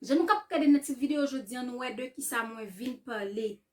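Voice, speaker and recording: A woman's voice: a little high, a little fast, darkish tone, recorded from a distance. A woman hosting her own channel, commenting on a video in a chatty way and asking rhetorical questions. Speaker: channel host.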